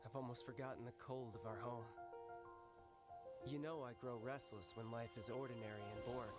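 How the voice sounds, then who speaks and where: An actor playing a cocky, restless young warrior with a smug, theatrical delivery in a game trailer. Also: male voice, medium pitch, steady tone, normal speed, lightly acted. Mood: wistful, assured. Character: cocky young male warrior, restless, battle-hungry, affectionate to siblings. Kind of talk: scripted game character trailer dialogue. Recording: loud music bed under voice.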